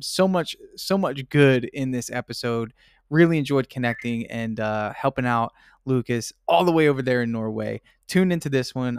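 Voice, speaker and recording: A man hosting a business podcast, upbeat and motivational, speaking in punchy slogans. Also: mid-range voice. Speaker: podcast host.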